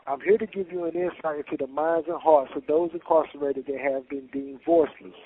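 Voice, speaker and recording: A man's voice: fairly high pitch, steady tone, brisk, slight background noise. A man hosting an interview show from inside a prison, speaking in a formal, solemn way with activist phrasing. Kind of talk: scripted intro then interviewing. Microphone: prison phone call. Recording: tinny phone line.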